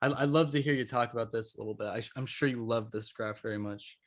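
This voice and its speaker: A man's voice: mid-range voice, fast talker. A man hosting a podcast, talking in a casual, loosely phrased conversational way. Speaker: podcast host.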